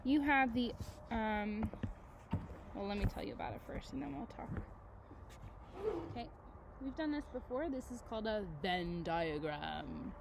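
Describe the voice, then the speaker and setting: A woman teacher reading aloud to children, with expressive delivery and casual, chatty asides that put questions to her listeners. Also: a little high, unhurried, vocal fry, long pauses, noisy background. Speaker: elementary school teacher.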